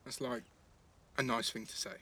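A man's voice: mid-range voice, flat delivery, normal speed, pushed and bright, dry recording. A man playing a cheeky, restless teenage schoolboy in care, blunt and slangy, in a naturalistic audio drama. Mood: soft, teasing.

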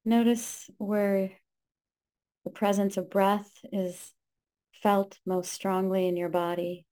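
A woman guides a meditation at a very slow pace. Her voice is soft-edged and dark, with very little movement in pitch. She gives calm, gentle instructions in short phrases with space between them.

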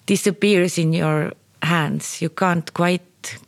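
Woman, unhurried and gravelly, hesitant and reflective in casual conversation, close dry sound. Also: lowish voice, steady tone, no echo. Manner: hesitant, thoughtful, searching for words. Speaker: performance maker, guest.

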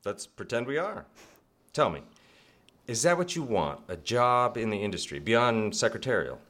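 An actor playing an attentive, earnest suitor with a hesitant, courting manner in a radio drama. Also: male voice, on the low side, big pitch swings, normal speed, a bit bright. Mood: tender, hesitant. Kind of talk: live radio drama acting.

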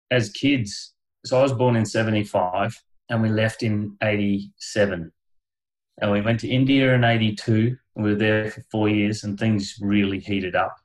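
A man's voice: on the low side, poor audio. A middle-aged man, a podcast guest, telling his life story in a frank, meandering way, full of fillers and short laughs. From Australia. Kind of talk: candid conversational storytelling.

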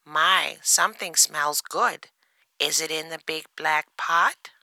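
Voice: mid-range voice, slow pace, creaky, sharp tone, dry recording, voice pushed up, exaggerated voice. A narrator voicing a polite, friendly female duck in a children's storybook. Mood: pleased. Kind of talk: children's storybook character reading.

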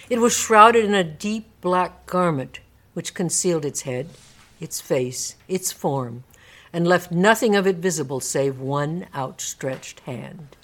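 Woman narrator, slightly low voice, measured and theatrical, reading an old classic aloud. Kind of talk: live dramatic storybook narration.

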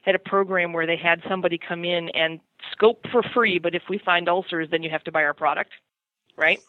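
A middle-aged woman chatting casually and telling personal anecdotes in an animated, self-deprecating way. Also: fairly low pitch, brisk, no room sound. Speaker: podcast segment guest on horse parenting. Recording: thin phone-like line.

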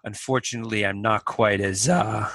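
A man answering interview questions in a measured, thoughtful way, with small restarts. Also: on the low side, steady tone, creaky, bright, forceful tone, no echo. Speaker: podcast guest.

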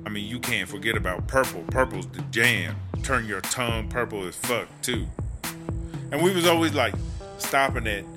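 A man playing a sassy, gossipy, foul-mouthed streetwise woman inmate in a comedy audio drama, with slangy, drawn-out exclamations. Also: lowish voice, close to an everyday voice. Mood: nostalgic, savoring. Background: music.

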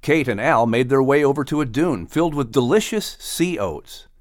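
A man's voice: mid-range voice, animated, normal speed, no room sound, clean studio sound. A man reading a children's story as a scripted audiobook narration.

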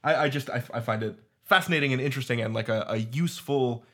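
Guy with average pitch on a panel, casual, thinking out loud, stops and starts a bit. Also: quick pace. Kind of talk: roundtable discussion.

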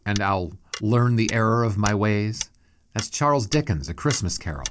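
Low-voiced, deadpan skeptical sportswriter played by a man. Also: moderate pace, background noise, natural voice. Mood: dry disbelief. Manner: dry, incredulous, deadpan. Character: skeptical, dry-witted Irish male 1920s sportswriter. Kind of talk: audio drama acting.

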